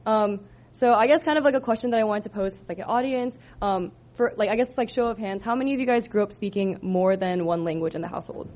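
A woman's voice: mid-range voice, quick pace, a little mellow. Chatty young woman presenting her thesis. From Southern California. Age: 20s. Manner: casual, many ums and likes. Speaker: student presenter. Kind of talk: thesis presentation to a live audience.

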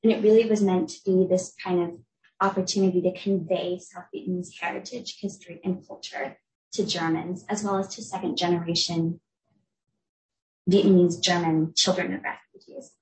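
A young woman from the United States giving an academic talk as a researcher, explaining in a clear, measured way. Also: on the low side, steady tone, a little mellow. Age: young adult. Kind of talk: academic lecture. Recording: echoey room, voice from a distance.

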